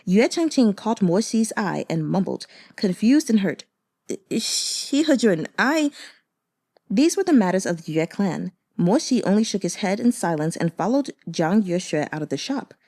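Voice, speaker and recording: A woman's voice: mid-range voice, big pitch swings, moderate pace, few pauses, dark tone. A woman reading an audiobook as narrator, telling the story expressively.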